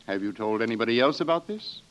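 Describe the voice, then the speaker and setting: A man playing a formal, forceful, probing prosecutor with declamatory delivery in a 1940s radio drama. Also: mid-range voice, expressive, fast talker, a little hiss or hum, lightly acted. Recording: dull, band-limited old radio recording.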